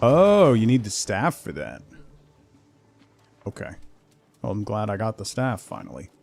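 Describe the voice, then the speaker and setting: A man's voice: on the low side, wildly swooping pitch, measured pace, vocal fry, darkish tone, faint music behind. A man doing a casual, rambling livestream over a video game, talking conversationally with viewers. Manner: rambling, conversational, reads chat and game text aloud. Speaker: game streamer, host.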